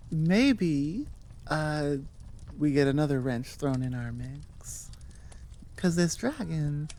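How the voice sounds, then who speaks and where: A woman's voice: deep voice, dark tone. A woman voice actor and channel host chatting casually and off the cuff to her listeners, rambling and enthusiastic. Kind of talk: casual unscripted chat to listeners.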